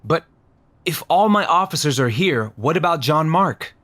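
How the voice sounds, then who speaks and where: Casting: dutiful police chief, slightly high-pitched, measured and deferential yet firm, for audio drama. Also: male voice, animated, a bit bright. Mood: firm concern. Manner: measured, respectful, earnest.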